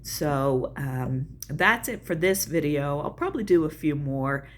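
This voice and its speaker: A woman's voice: low voice, expressive, a little slow, slight background noise. An older woman with a Southern US accent, chatting casually and folksily about her own life on her video channel. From American South. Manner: chatty, folksy, self-deprecating asides. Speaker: channel host. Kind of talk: casual personal vlog.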